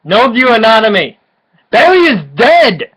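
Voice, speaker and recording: A woman's voice: fairly high pitch, sing-song, a little slow, fairly bright tone, husky, poor audio. A drunk woman doing a mock-dramatic amateur reading aloud, giggly and stumbling, with sweary casual asides. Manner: unpolished, giggly, stumbles and swears over the text. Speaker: amateur co-reader of a fan story.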